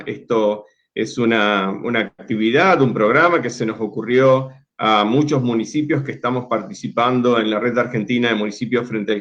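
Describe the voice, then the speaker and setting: A man of middle pitch explains a program to an audience, often stumbling and repeating words before he finds his phrasing. The sound is dull and lo-fi, with the top end cut off. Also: a bit bright. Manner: hesitant, with repeated words and restarts. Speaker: executive director of a municipal climate network. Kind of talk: informal presentation.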